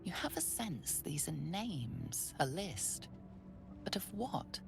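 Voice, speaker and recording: A solemn female storyteller for a fantasy game, very low and heavily creaky. She narrates slowly and mysteriously in a measured hush, with music behind her. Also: sing-song, heavily acted. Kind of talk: scripted second-person narration.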